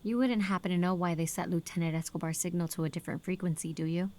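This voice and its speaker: Sharp, defiant female lieutenant played by an actress. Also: lowish voice, fairly even intonation, fast, close to an everyday voice. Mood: dry, pointed. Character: sharp, defiant female military lieutenant, operations radio officer. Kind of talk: audio drama acting.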